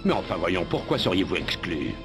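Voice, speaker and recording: A suave, domineering cartoon official. His low voice swoops with condescension, over background music. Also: big pitch swings, a little fast. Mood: concerned, probing. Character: paternal, domineering man in power, suavely insistent. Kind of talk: French animated series dub acting.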